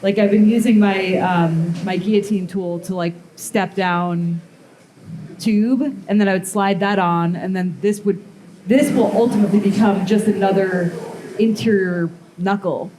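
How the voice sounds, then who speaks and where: A woman giving a casual live metalworking demonstration, explaining steps informally and haltingly as she thinks aloud. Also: medium pitch, fairly even intonation, mellow, rough recording. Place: live blacksmithing demonstration before onlookers.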